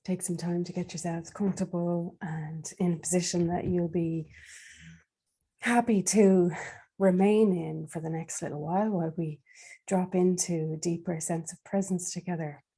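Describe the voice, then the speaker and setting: A woman leading a guided meditation in a soft, breathy, soothing voice, leaving long unhurried pauses. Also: on the low side, fairly even intonation.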